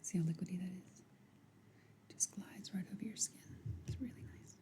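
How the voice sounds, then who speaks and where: A woman whispering softly and unhurriedly in an ASMR roleplay, gently instructing the listener as a makeup artist. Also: lowish voice, steady tone, normal speed. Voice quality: breathy whisper.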